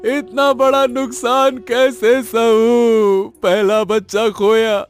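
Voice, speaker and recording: A man's voice: very high-pitched, level tone, slow pace. A man voicing an emotional elder brother, a village farmer, in a dramatic, emphatic cartoon story style. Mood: wailing, despairing.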